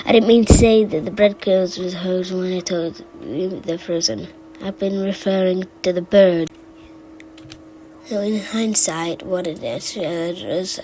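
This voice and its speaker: Woman playing a rambling, creaky-voiced forgetful storyteller. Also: medium pitch, darkish tone, low-quality recording. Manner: halting, digressive, stammering. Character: rambling, forgetful storyteller who muses about odd small memories. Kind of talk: fan recital of game character voice lines. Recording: amateur recording with clear background noise.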